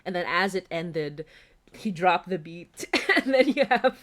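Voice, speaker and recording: A woman hosting a casual livestream, chatty and animated, often giggling as she talks. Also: on the low side, unhurried. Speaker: podcast host and writer.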